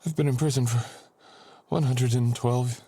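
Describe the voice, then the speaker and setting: A man playing a dangerous, vengeful ancient sorcerer in an audio roleplay, speaking in a husky, breathy voice with dramatic, threatening pauses. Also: mid-range voice, steady tone, dark tone, dry recording, close to an everyday voice. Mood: bitter, agitated. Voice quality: husky, breathy, often dropping to a whisper. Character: dangerous ancient male sorcerer, menacing, vengeful, freed from long imprisonment. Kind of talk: boyfriend ASMR audio roleplay.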